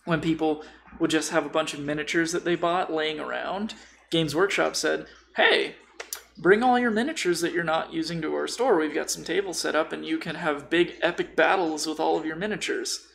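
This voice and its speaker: Gravelly, slangy man chatting over noise. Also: a little high, normal speed, fairly bright tone. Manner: slangy, sweary, jokey. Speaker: podcast co-host. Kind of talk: casual chat. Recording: noisy room recording. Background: clear background noise.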